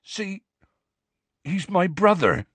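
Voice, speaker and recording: A man's voice: mid-range voice, very animated, normal speed, a bit bright, slightly rough, no echo, pitched above the performer's own voice. A man voicing a huge, good-hearted male half-giant in an audiobook, pleading in earnest, ungrammatical rustic speech.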